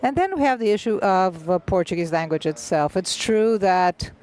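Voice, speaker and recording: An interpreter voicing a literary festival panel into English on the fly, speaking in short halting bursts with many filled pauses and restarts. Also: medium pitch, expressive, a little mellow.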